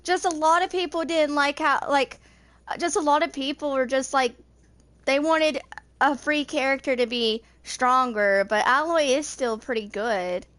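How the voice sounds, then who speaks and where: A woman's voice: high-pitched, measured pace, a bit bright, no echo. A woman in her twenties chatting casually while gaming, with a rambling, informal way of talking. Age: 20s. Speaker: video game streamer.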